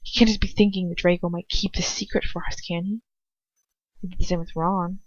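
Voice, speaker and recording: A woman's voice: on the low side, steady tone, fairly quick, no room sound. Informal woman reading fiction, dry muffled recording. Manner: informal, swears, self-correcting, jokey asides. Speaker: amateur fan fiction reader. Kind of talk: expressive story reading with casual asides.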